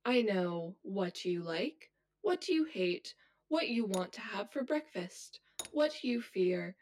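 A woman's voice: mid-range voice, sing-song, takes it slowly, darkish tone, slight background noise. An actress playing a cold female inner double in an audio drama, precise, clinical and condescending. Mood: taunting. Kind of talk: scripted audio drama acting.

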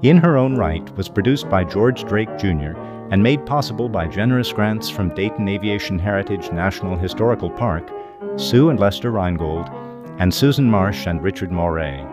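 A man hosting a podcast, reading scripted narration in a measured, formal way. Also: sits low, lively intonation, over music. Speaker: podcast host and author.

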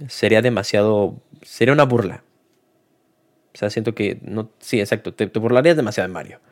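A man's voice: fairly low pitch, brisk. A man giving a casual spoken film review, hesitant, with many fillers and restarts.

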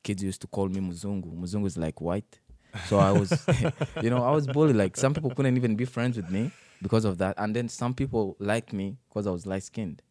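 A man's voice: lowish voice, fairly quick, hardly any pauses, darkish tone, no echo, clean studio sound. A young man in his twenties from the Congo, raised in Uganda, telling his life story in an interview with many fillers and restarts. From Democratic Republic of the Congo, raised in Uganda. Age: 20s.

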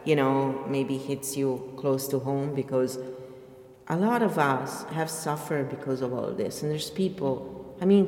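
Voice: medium pitch, a little mellow. A podcast host reading a book aloud and adding casual commentary, with emphatic stress on key words. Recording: echoey room with long reverb.

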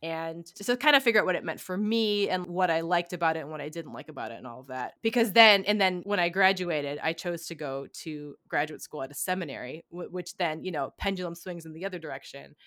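A young woman, co-hosting a podcast and telling personal stories in an animated, casual way, full of "like" and "right?". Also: lowish voice, fairly quick, hardly any pauses, no echo. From United States. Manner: animated, heavy use of "like" and "right?". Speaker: podcast co-host. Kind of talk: casual conversational storytelling.

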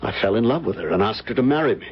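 Deep, ardent romantic hero. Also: male voice, animated, racing, husky, full character voice. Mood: hesitant earnest. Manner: impassioned, florid, earnest. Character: ardent, insistent male lover recalling a haunted romance. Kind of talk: old-time radio drama acting and narration. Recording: muffled lo-fi old radio, music behind.

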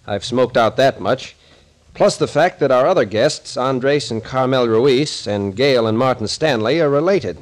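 A man's voice: medium pitch, measured pace, close to an everyday voice. A man plays a take-charge captain in a vintage radio mystery. His voice sits in the middle range. He talks in a clipped, commanding, no-nonsense way. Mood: grim, stumped. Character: brusque, take-charge male captain and sleuth. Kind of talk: 1940s radio acting.

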